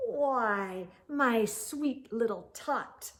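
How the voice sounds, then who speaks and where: A sly, smug schemer from a children's storybook, acted out by a woman reading aloud. The voice is high and takes its time, stretching words in a gloating sing-song. It sounds like a creature savouring its own trickery. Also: expressive, extremely slow, exaggerated voice. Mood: sly, falsely sweet.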